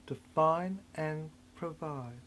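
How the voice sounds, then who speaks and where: An older person delivering a rambling, cryptic monologue in halting fragments, repeating phrases for emphasis. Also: mid-range voice, fairly bright tone, a little hiss or hum.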